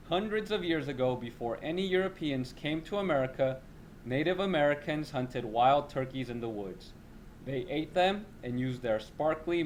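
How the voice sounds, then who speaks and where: A man's voice: a little high, a little hiss or hum, low-quality recording. A man reading a children's nonfiction text aloud as a teacher.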